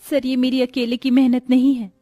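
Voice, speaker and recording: A woman's voice: high-pitched, level tone, moderate pace, warm tone, no echo, clean studio sound, faint music behind. A woman voicing a humble, educated young woman from a poor family in a cartoon moral story, earnest and pleading. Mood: earnest, respectful.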